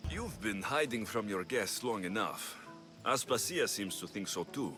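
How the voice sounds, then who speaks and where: An actor playing a wary, blunt young mercenary in a video game, speaking in a terse, guarded way. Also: male voice, fairly low pitch, animated, unhurried, music in the background. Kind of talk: video game dialogue acting.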